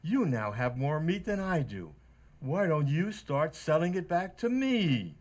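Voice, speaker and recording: An actor playing a weary, wry butcher in an old-time radio comedy, with a dry, emphatic delivery. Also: male voice, a little high, sing-song, a little slow. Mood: wry, exasperated. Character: weary, wry male butcher counting off purchases.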